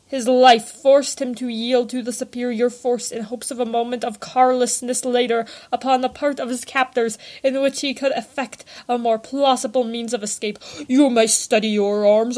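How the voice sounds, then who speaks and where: Higher-voiced woman reading a story aloud. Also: a little mellow. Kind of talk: storybook narration, dramatic read-aloud.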